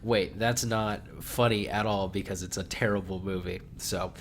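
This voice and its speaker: Low-voiced co-host, nasal with a cold. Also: male voice, steady tone, moderate pace, slight background noise. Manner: wry, self-deprecating, chuckling. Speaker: podcast co-host. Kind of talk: casual comedic podcast chat.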